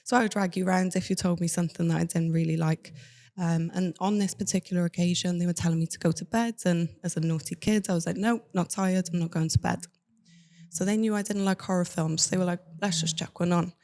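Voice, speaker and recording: Candid Cardiff woman, low-voiced. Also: fairly even intonation, fast, warm tone. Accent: Welsh, Cardiff. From Cardiff, Wales. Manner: candid, matter-of-fact storytelling. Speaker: guest. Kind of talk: personal life story in an interview.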